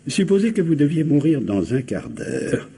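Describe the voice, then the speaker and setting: An actor playing an eccentric, evasive doctor with pompous, hesitant courtesy in a French radio comedy-mystery. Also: male voice, mid-range voice, huge pitch swings, moderate pace, creaky, mellow, husky, exaggerated voice. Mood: solemn, cryptic. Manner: pompous, hesitant, formal phrasing.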